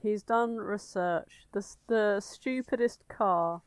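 A woman co-hosting a comedy podcast in casual chat, reactive and quick to swear. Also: mid-range voice, dry recording. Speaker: podcast co-host.

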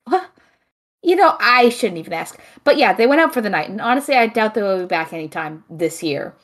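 Woman as a wry, lively, teasing best friend. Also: medium pitch, brisk, lightly acted. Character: dry, teasing, homebody young woman, affectionately sarcastic best friend. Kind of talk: audio drama acting.

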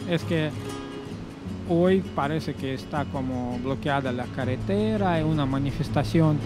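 A middle-aged man tells his own travel story at a very slow, unhurried pace, pausing often and correcting himself as he goes. He speaks into a stand mic out on a terrace above a beach. Music plays clearly behind his voice. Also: medium pitch. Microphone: stand mic in front of him. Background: music playing behind.

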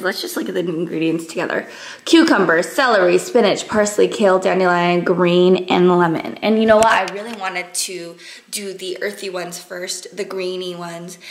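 A young woman in her twenties chatting to a handheld camera at arm's length, a little raspy from just waking, upbeat with many fillers. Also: mid-range voice. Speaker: vlogger. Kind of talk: casual vlog chat to camera. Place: apartment rooms, gym and car. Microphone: handheld fisheye action camera at arm's length.

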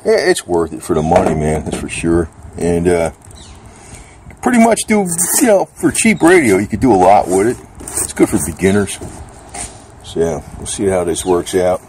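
A man's voice: sits low, wildly swooping pitch, moderate pace, a little mellow. A man giving a casual, rambling hands-on review in an informal, slangy way with some mild cursing. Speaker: product reviewer. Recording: clear background noise, outdoor ambience.

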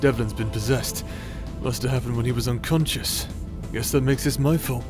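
A man's voice: medium pitch, steady tone, normal speed, natural voice. A man playing a stubborn, protective warrior in an audio roleplay drama, defiant and dramatic in his delivery. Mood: wry, knowing. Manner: impassioned, dramatic. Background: music.

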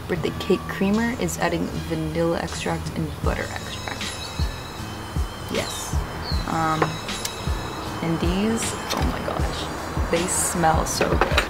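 A young woman in her twenties chatting casually to camera in a vlog, her voice a little raspy, rambling with fillers and restarts. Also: fairly low pitch. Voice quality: raspy morning voice. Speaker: fitness vlogger. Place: balcony, then kitchen and backyard. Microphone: handheld camera close up.

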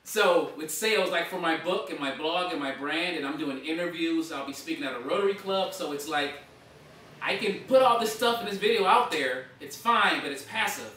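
A man's voice: a little high, normal speed, slight background noise. A man in his thirties, a blogger and author, talking casually to camera and gesturing a lot as he speaks. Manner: animated, gesturing with both hands. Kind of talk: casual vlog talk to camera. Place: indoor dining room. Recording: echoey room from far off.

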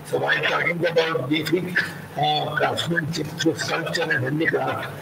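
An older man from India with a Gujarati-influenced accent, reading a prepared talk on a video call in a slow, halting way. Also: a little high, steady tone, creaky, reverberant, distant mic, heavy background noise. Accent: Gujarati-influenced English. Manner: slow and halting, with many uh pauses. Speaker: sculptor and panel speaker. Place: room at home, indoors. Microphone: laptop mic on a video call.

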